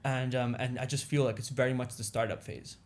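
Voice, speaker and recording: A man's voice: mid-range voice, fairly even intonation. A young man whose first language is Indonesian, chatting casually as a podcast guest and often repeating himself. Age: young adult. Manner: repetitive, halting phrasing with frequent "you know" and "like". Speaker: podcast guest, business owner. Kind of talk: casual interview chat. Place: small indoor podcast studio, seated on a couch. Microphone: boom-arm studio mic with pop filter.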